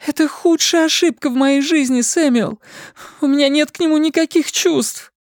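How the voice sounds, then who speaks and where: A distraught young wife, her voice pitched high and strained with tears, as a male narrator puts her on. She pleads and sobs in a storybook reading. The sound is dry and close, with no room around it. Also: moderate pace, no echo. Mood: sobbing defensive.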